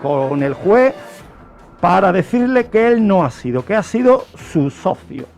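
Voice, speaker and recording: A man's voice: high voice, big pitch swings, measured pace, darkish tone. A man with an accent from Spain explains a crime story on the radio in a didactic way, posing rhetorical questions. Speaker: true-crime contributor on a radio programme. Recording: music playing under the voice. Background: music.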